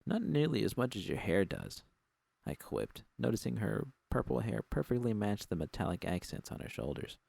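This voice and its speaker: A man's voice: fairly low pitch, gravelly, darkish tone, no echo. A man reading a first-person story as an audiobook narrator, in a scripted literary style.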